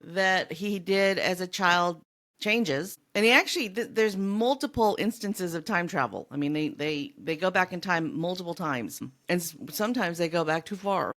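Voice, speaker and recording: American lady w a low voice, casual book podcast host chatting away. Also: expressive, moderate pace, a bit bright. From California, US.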